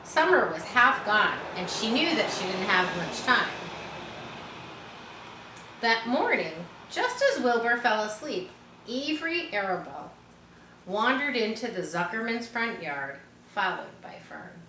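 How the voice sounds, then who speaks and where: A woman reading a children's story aloud, expressive, as if guiding young listeners through it. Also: on the high side, unhurried. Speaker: storybook reader. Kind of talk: children's read-aloud narration. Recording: roomy echo, faint background noise.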